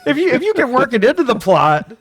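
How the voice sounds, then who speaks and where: A man's voice: extremely high pitch, wildly swooping pitch, fast, fairly bright tone, husky, dry recording. A man co-hosting a casual movie-review show, recapping plots in a sweary, irreverent, joking way. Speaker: podcast co-host.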